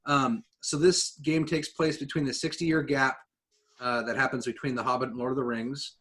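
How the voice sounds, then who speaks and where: A man co-hosting a podcast, talking in an enthusiastic, informal way as he pitches his ideas. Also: little pitch movement, moderate pace, a bit bright. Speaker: podcast co-host. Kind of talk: casual podcast chat.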